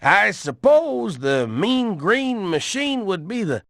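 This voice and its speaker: A man's voice: high voice, very animated, takes it slowly, gravelly, fairly bright tone, no echo, studio quality, full character voice. A man playing folksy, reassuring country kinfolk with a drawling Southern US accent in a video game. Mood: pondering. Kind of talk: video game cutscene acting.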